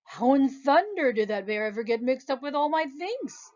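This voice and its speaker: A woman's voice: high voice, very animated, normal speed, lo-fi sound, voice pushed up. A woman voicing a kindly young male artist in a storybook, speaking thoughtfully. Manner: thoughtful and gentle.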